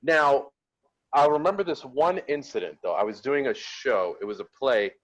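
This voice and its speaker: A man's voice: mid-range voice, normal speed, dull audio. A man telling a story in a casual panel discussion, easygoing and a little hesitant. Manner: easygoing, hesitant starts with ums.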